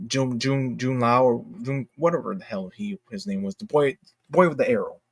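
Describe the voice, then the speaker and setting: A man's voice: medium pitch, moderate pace, husky. A man hosting a casual livestream, chatting informally and emphatically with strong opinions and slang like dude and bro. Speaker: livestream host. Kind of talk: casual livestream chat about games.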